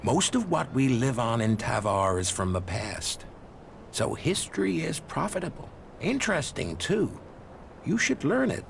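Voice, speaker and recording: An actor playing a worldly, wary desert historian in a video game, explaining in a measured way. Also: male voice, mid-range voice, big pitch swings, creaky, slight background noise. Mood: storytelling, intrigued. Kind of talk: expository game dialogue.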